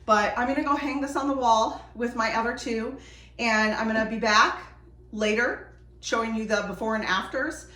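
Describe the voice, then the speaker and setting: A woman chatting enthusiastically to viewers while doing a quick craft project, a bit sniffly from a cold. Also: on the high side, sharp tone. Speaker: DIY vlogger. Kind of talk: casual how-to chat while crafting. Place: home decorating space, indoors. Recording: roomy echo, distant from mic, faint noise.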